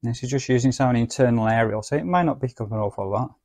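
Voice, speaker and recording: A man's voice: mid-range voice, quick pace, darkish tone, no room sound. Man chatting casually, close dry sound. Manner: chatty, informal. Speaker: presenter of a vintage radio video. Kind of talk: casual spoken demonstration.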